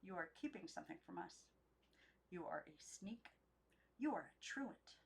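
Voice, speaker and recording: A severe elderly aunt in a storybook, acted out by a woman narrator. She is commanding and quick to accuse. Worry sharpens her scolding. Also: on the low side, animated, vocal fry, slightly rough, exaggerated voice. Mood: stern, accusing. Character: stern, anxious old aunts scolding a runaway niece.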